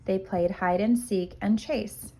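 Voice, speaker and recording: A woman reading a children's storybook aloud as a kindergarten teacher, expressive and measured for young listeners. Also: medium pitch, rough recording.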